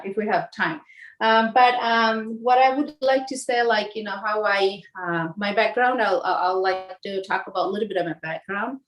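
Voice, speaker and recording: A woman from Bangladesh walks through a home cooking demonstration in a relaxed, chatty way. She hesitates often and restarts her sentences. Her voice sits at an ordinary middle pitch. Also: low-quality recording. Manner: hesitant, many fillers and restarts. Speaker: home cook guest.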